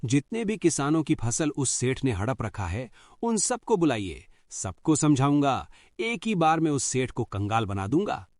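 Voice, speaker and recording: A man's voice: medium pitch, sing-song, measured pace, darkish tone, no echo, clean studio sound. A man voicing a confident young village son. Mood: concerned. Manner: reassuring, confident.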